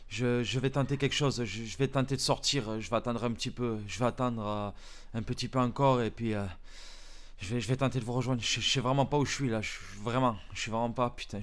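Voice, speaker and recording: A man's voice: mid-range voice, natural voice. Man doing a scared young criminal in a game roleplay, swears, stutters and whispers, mid voice. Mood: panicked pleading. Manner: swearing constantly, stammering, often hushed whispers. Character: panicked young male smuggler hiding from police. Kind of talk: improvised roleplay acting.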